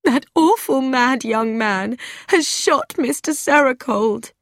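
A woman's voice: expressive, takes it slowly, no room sound, clean studio sound. A woman voicing an anxious, tearful woman crying out in an audiobook mystery. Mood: alarmed, distressed. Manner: high, crying out.

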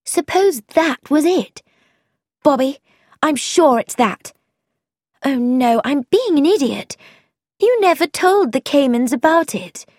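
A woman reads as an eager young woman sleuth who talks fast and emphatically. Her voice sits high and leaps about with excitement, and the sound is close and dry. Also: no echo. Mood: excited.

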